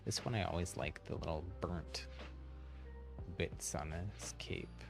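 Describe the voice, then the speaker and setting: A man's voice: low voice, slow pace, gravelly, over music. A man chatting casually as he streams a video game, rambling and thinking aloud. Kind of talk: casual livestream chat while playing.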